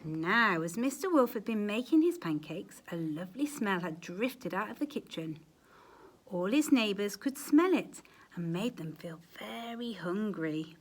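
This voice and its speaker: Animated woman reading a children's storybook. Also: medium pitch, a little slow. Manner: animated, dramatic emphasis, quiet asides to young listeners. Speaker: storyteller, teacher. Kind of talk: expressive children's storybook read-aloud.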